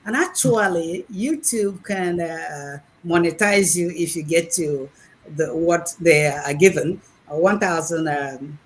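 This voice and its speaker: A woman in her sixties with a Nigerian accent, giving a chatty, halting speech over a video call at an online graduation. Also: fairly low pitch, slight background noise. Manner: chatty and animated, restarts and repeats words, hesitant fillers. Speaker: graduating program participant, retired math lecturer.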